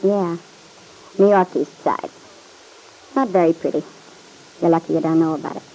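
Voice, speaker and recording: Breezy showgirl in mellow vintage radio drama. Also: low voice, normal speed. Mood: matter-of-fact. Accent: American. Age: young adult. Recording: noisy vintage radio recording.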